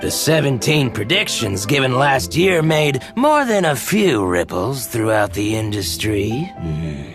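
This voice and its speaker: Genial merchant, slow and animated. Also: male voice, mid-range voice. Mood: eager, knowing. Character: genial, shrewd male harbor businessman. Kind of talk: video game character acting. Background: game music.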